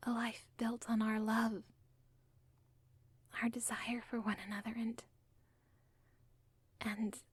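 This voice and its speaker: Woman doing a lovesick, unstable villain's daughter, whispering close, slow, breathy, dry sound. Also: on the high side, little pitch movement, no room sound, full character voice. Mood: pleading. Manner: intimate, pleading, self-aware. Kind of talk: ASMR audio role play.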